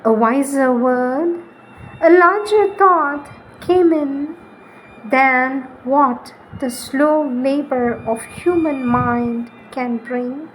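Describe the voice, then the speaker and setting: A woman reads spiritual poetry in a solemn, devotional style, deliberately, pausing between short phrases. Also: sits high, slight background noise, low-quality recording. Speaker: reader.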